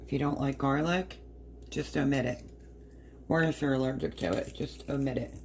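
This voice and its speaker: A woman with a hoarse voice casually explaining a recipe step by step in her home kitchen. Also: very deep voice, fairly even intonation, normal speed, darkish tone, slight background noise. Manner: chatty, explains step by step while working. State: hoarse, partly lost voice. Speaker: home cook. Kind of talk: casual cooking demonstration.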